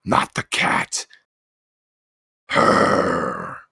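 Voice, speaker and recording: A man voicing a massive three-headed hellhound in a low breathy growl, giving grave, halting warnings in a tabletop game. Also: bright, forceful tone. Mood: fearful, ominous.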